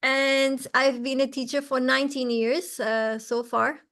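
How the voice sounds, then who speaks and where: A woman's voice: sits high, little pitch movement, a little slow, fairly bright tone. A Portuguese woman in her forties, speaking English with a Portuguese accent, teaching an online lesson in a chatty, bubbly way with frequent laughter. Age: 40s. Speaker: language teacher.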